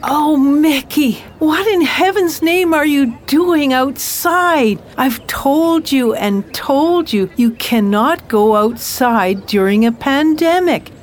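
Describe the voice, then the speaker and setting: A woman plays a bossy, overburdened sister caring for her sibling in an audio drama. She speaks high and very slowly and deliberately, scolding with weary impatience. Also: animated. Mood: exasperated. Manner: scolding, exasperated.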